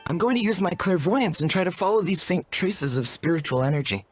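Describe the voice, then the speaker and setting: A man's voice: sits high, expressive, fairly quick, husky. An actor playing an earnest, courteous and wordy young shaman apprentice in a video game, speaking in a formal, polite manner. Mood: earnest, proud. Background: music.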